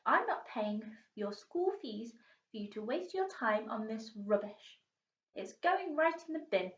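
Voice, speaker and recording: Lady reading as a stern snooty mum telling off her kid, high voice, muffled echoey recording. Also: very animated, measured pace. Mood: scornful. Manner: haughty, sharp, scolding. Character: stern, scornful mother scolding her daughter. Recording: muffled, echoey room, distant mic.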